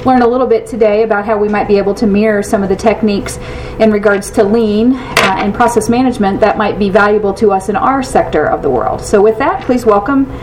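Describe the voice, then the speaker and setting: A woman hosting an event, giving a measured formal introduction of the next speaker. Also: medium pitch, distant mic, noisy background.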